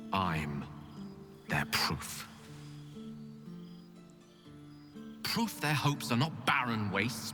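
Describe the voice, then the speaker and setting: An actor playing a proud, newly ascended wizard god of ambition, pompous and eloquent, in a dramatic video game. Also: male voice, a little high, sharp tone, big-room sound, heavily acted. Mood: impassioned, proud.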